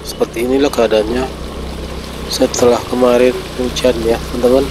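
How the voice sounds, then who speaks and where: A man's voice: mid-range voice, little pitch movement, moderate pace. Man with a cold vlogging from the road. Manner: chatty, keeps saying "friends" to viewers. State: stuffy nose from a cold. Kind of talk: casual travel vlog narration. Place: outdoors on flooded city streets. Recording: traffic noise outdoors.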